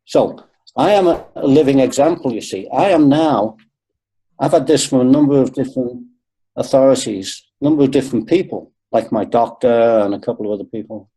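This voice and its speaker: A man's voice: mid-range voice, brisk, warm tone, husky, poor audio. A man in his sixties, a guest on a webinar video call, talking earnestly and repeating points for emphasis. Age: 60s. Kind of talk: webinar conversation.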